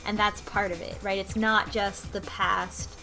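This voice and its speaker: A woman talking casually on a discussion panel, speculating in loose, animated runs peppered with "like". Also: mid-range voice, a little slow, creaky. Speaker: book club podcast panelist. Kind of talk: casual discussion of a video game story. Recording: music playing under the talk. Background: background music.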